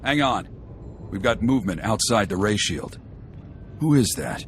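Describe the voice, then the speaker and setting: An actor playing a brisk army lieutenant in a video game, with a clipped military delivery. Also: male voice, fairly low pitch, lively intonation, slight background noise. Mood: wary. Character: brisk, urgent army lieutenant holding a besieged base. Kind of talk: video game cutscene acting. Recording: game music under the voice.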